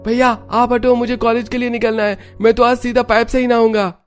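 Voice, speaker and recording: A man's voice: extremely high pitch, level tone, fairly quick, smooth, soft background music. An actor playing a demanding, self-absorbed young man of a household in a cartoon story.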